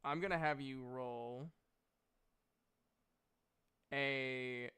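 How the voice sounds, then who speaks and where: Bright, unhurried male game master. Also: medium pitch, steady tone, clean tone. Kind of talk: narrating and running a tabletop game.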